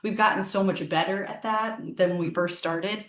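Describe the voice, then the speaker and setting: A woman's voice: mid-range voice, steady tone, normal speed. Chatty woman harpist in an echoey room. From Northern Virginia, USA. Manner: chatty, thinks aloud with many ums and restarts. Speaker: harpist and singer. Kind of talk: casual interview answers.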